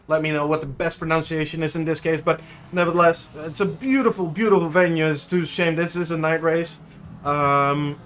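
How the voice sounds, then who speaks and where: A Dutch-accented man whose voice sits a touch high for a man, commentating a sim race from a home streaming desk. He talks in a loose, rambling way, restarting phrases and fumbling names as he goes. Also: a bit bright. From Netherlands. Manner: chatty, self-correcting, unsure of name pronunciations. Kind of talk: live sim racing commentary.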